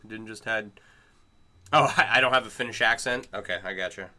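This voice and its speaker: A man's voice: on the low side, a little fast, bright, forceful tone. A middle-aged man chatting casually on a livestream while he works on chainmail, greeting and answering his viewers. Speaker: chainmail maker streaming live.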